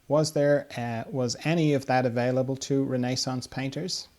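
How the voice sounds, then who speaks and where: A man's voice: mid-range voice, steady tone, moderate pace, darkish tone. Casual man hosting a podcast, thinking aloud. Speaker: podcast host. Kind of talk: casual conversation, reading listener questions.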